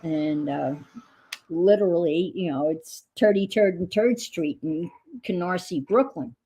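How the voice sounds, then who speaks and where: A middle-aged woman from Long Island with a light New York accent, talking slowly through a craft session. Her voice is gravelly and a bit husky, and she rambles and mutters to herself as she works. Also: lowish voice, dark tone. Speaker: craft vlogger. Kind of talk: casual chat while painting.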